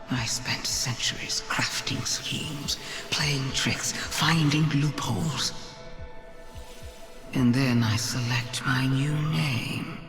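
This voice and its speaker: An actress playing a proud, cunning alien witch queen who delivers a game monologue in an ominous, grandiose way, hushed in places. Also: a bass voice, vocal fry, exaggerated voice. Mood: ominous, secretive. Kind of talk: theatrical game cutscene monologue. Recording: roomy echo, music underneath.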